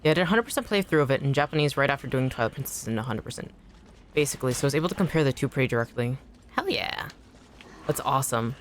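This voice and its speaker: Casual streamer voice, mid-pitch, chats with chat and swears when startled, desk mic. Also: expressive, quick pace, a little hiss or hum. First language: Anishinaabemowin. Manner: chatty, swears and yelps at enemies mid-sentence.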